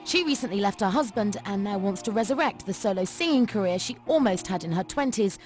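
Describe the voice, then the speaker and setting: Female voice-over, lively and slightly high, music under it. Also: fairly quick. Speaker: narrator. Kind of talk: scripted TV voice-over.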